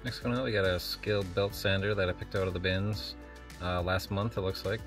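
A man's voice: sits low, steady tone, a little fast, fairly bright tone. A man narrating a reselling vlog in a casual, rambling, matter-of-fact way with plenty of filler words. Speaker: online reseller hosting a shipping vlog. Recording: music behind the voice. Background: background music.

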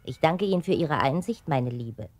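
A composed older headmistress in a children's radio drama. Her low voice moves in wide, expressive arcs. She speaks with measured, knowing authority and gentle condescension. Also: no room sound. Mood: firm. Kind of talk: German children's radio play acting.

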